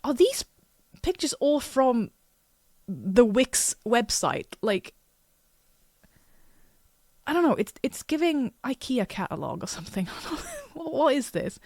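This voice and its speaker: A woman's voice: fairly high pitch, dry recording, clean studio sound. A woman whose first language is not English, reading a web page aloud with occasional stumbles and breaking off to make frank, chatty asides. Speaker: artist and video creator.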